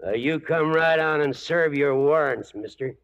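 A man's voice: fairly high pitch, normal speed, a bit bright. A man playing a murderous old outlaw patriarch in a 1940s western radio drama, drawling and menacing. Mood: cold menace. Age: older. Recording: dull 1940s radio broadcast recording.

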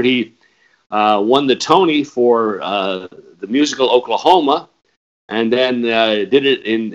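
An older retired man hosting a podcast, hoarse and raspy from a cold, talking in a hesitant way with many fillers and restarts. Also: medium pitch, muffled recording. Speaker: podcast host. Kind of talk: casual interview chat.

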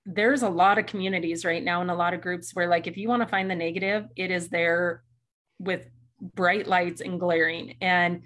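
A woman joins a video call and speaks earnestly, stringing her thoughts into long run-on sentences. Her voice sits a little low for a woman. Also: fairly even intonation, normal speed, a bit bright. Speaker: community member. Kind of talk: casual chat.

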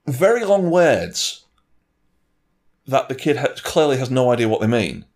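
A man's voice: mid-range voice, very animated, unhurried, a little mellow. A man chatting casually and giving wry, opinionated reviews, with some swearing and mimicry. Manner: wry, sweary, puts on mock voices while ranting. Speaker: film and game reviewer in a two-person discussion.

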